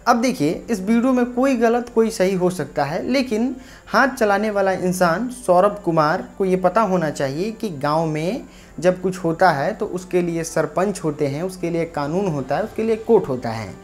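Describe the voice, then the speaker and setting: A woman's voice: fairly low pitch, animated, moderate pace. A woman presenting a local news report, narrating and speaking directly to viewers. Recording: faint music bed behind voice.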